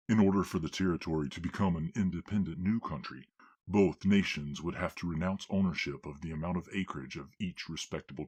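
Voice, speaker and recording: A man playing a formal lord in an audio drama, speaking in a measured, authoritative way. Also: low voice, a little mellow, husky.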